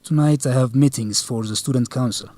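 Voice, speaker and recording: A man's voice: mid-range voice, a little fast, a little mellow, dry recording, lightly acted. A man acting as a hurt, jealous young student boyfriend in a radio drama, earnest and reproachful. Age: young adult. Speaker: actor in an educational radio drama.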